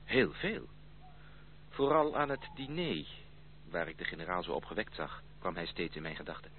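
An actor playing a courteous, ardent gentleman suitor in a Dutch radio drama, with a formal, gallant way of speaking. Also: sits low, lively intonation, moderate pace. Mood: concerned. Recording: tinny, noisy old radio play recording.

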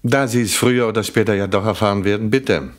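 A very low-pitched male police inspector acted by a man in a German radio play. He is courteous and measured, with a dry, probing manner that insinuates more than it states. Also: close to an everyday voice. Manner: measured, polite, drily insinuating. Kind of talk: German radio crime drama acting.

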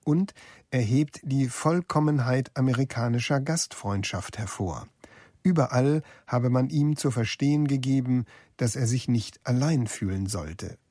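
A male radio narrator with a dark, mellow timbre and a medium pitch. He reads scripted documentary text in a composed, factual way. The recording is dry and free of room sound. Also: measured pace, no room sound.

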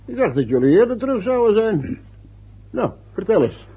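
A man's voice: sits high, big pitch swings, moderate pace, husky, heavily acted. An actor playing a nosy, hesitant male relative who fishes for news in a radio drama. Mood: curious, hesitant. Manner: hesitant, inquisitive. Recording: muffled, distant lo-fi radio play.

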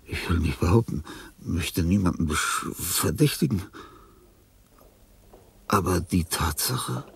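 An actor playing a frail, dying old composer in a radio play, self-pitying and grandiose, rambling theatrically with a breathy, husky voice that often falls to a whisper. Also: male voice, deep voice, expressive, takes it slowly, pauses often, heavily acted. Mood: suspicious. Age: older. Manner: theatrical, rambling, with sudden swings from murmur to outburst. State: frail, ailing.

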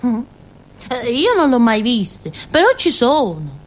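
A woman's voice: fairly high pitch, very animated, slow pace, heavily acted. An actress playing a naive, simple-minded village woman in a theatrical monologue, rambling and childlike with a southern Italian, Calabrian accent. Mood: confiding. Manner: rambling, childlike, with muttered asides and little interjections. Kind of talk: theatrical monologue in a radio drama.

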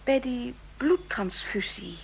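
A woman playing a gentle, sympathetic young employee of an intelligence bureau in a radio drama, speaking softly and with some hesitation. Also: a little high, expressive, slow pace, vocal fry, a little hiss or hum, exaggerated voice. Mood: pensive, concerned. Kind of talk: Dutch radio drama acting.

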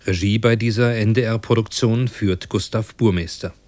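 A man with a slightly low voice announces a programme on the radio. His delivery is formal and scripted. The sound is close and very dry, with no room around it. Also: fairly even intonation, measured pace, darkish tone, dry recording.

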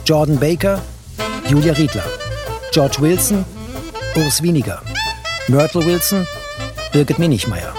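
A man reads out radio play credits as a formal announcer. His voice is on the high side and clipped, set over clear swing music. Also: animated, unhurried, pauses often. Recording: loud swing music behind.

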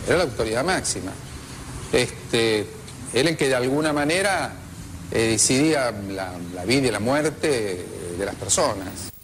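A man with an Argentine accent and a mid-pitched voice gives testimony. He speaks haltingly, repeating himself as he looks for words, with faint noise behind him. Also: lively intonation. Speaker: survivor giving testimony. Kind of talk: spoken testimony.

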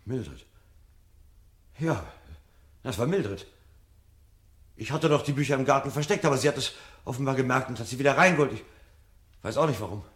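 A man's voice: medium pitch, animated, normal speed, pauses often, fairly bright tone, husky, dry recording. An actor playing a troubled, self-doubting fireman in a radio drama, brooding and agitated in manner. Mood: dejected. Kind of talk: 1970 German radio drama acting.

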